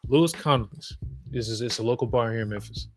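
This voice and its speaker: A man's voice: mid-range voice, brisk, soft background music. A man from the American South with a Southern accent, a podcast guest talking in a reflective, emphatic way, telling stories with frequent restarts. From Southern United States. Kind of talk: casual conversation.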